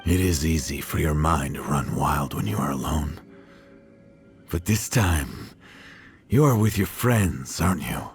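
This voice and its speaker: An actor voicing a weary, regretful old village man in a video game, speaking haltingly. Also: rumbling low, expressive, faint music behind, full character voice. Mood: wistful, gentle. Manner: halting, hesitant.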